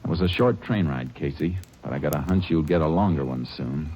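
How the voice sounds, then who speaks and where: An actor playing a steady, commanding Texas Ranger in a 1940s radio drama. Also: male voice, extremely low pitch, fairly quick, highs cut off, very noisy. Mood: stern. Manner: calm, dry, commanding.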